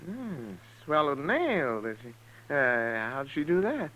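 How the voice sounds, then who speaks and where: An actor playing a weary, kindly frontier doctor in a 1950s radio western, with a hesitant, folksy way of talking. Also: male voice, fairly high pitch, sing-song, a little slow, pushed and bright, heavily acted. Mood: concerned. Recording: dull, noisy old radio recording.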